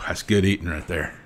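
A man's voice: deep voice, noisy background. A middle-aged man with a Southern American accent, hosting a reaction video in casual commentary from a small home room on a studio mic, chatty and emphatic, laughing often. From Southern United States. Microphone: studio mic on arm, wearing headphones.

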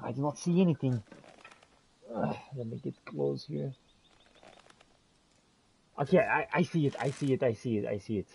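A man's voice: mid-range voice, moderate pace, vocal fry, pauses often, a little mellow, slightly rough, low-quality recording. A man doing in-character gameplay commentary, exclaiming and talking to himself and his game companions. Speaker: gamer playing in character.

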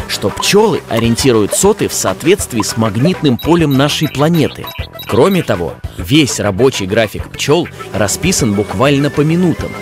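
A man's voice: mid-range voice, close to an everyday voice. A man playing a playful science explainer, narrating an educational children's cartoon in a didactic, scripted way.

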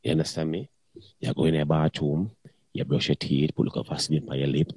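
A man's voice: a bass voice, flat delivery, fast, darkish tone, husky, no echo. A middle-aged man, talking bluntly and crudely in patois in a casual chat.

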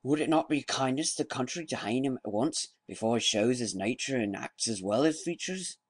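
A man's voice: medium pitch, lively intonation, brisk, no room sound, voice pushed up, natural voice. A man voicing a pompous, fussy older magistrate, in an audiobook reading.

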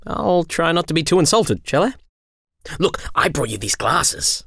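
Man acting young male angel apparition, slightly high voice, flippant, teasing, dry studio sound, audio drama. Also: sing-song, a little slow, vocal fry, a bit bright, slightly rough, no room sound, exaggerated voice. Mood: playful.

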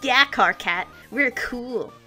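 A woman's voice: fairly high pitch, lively intonation, slow pace, sharp tone, soft background music, exaggerated voice. A woman voicing a playful, breezy teenage cosplayer in a cartoon comic dub, doing a character voice.

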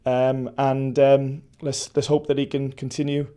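A Welsh man in his forties, a football head coach, giving a measured post-match interview with many restarts and fillers. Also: medium pitch, monotone, mellow, clear, resonant tone. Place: indoor press backdrop at a stadium.

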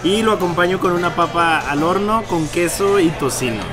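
A man's voice: high-pitched, normal speed. A man in his thirties with a Mexican accent, chatting casually to camera as a vlog co-host. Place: busy restaurant. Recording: loud music and restaurant crowd noise.

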